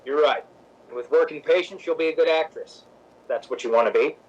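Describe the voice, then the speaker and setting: An actor playing a wry, candid, self-assured stage director in a radio drama, speaking plainly. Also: male voice, fairly high pitch, fairly quick, husky. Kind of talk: old-time radio drama acting. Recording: slightly dull, lo-fi sound.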